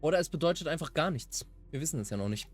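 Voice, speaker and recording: A man's voice: fairly high pitch, expressive, racing, soft background music. A German man giving casual commentary on a game he is playing and reading aloud. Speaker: let's player.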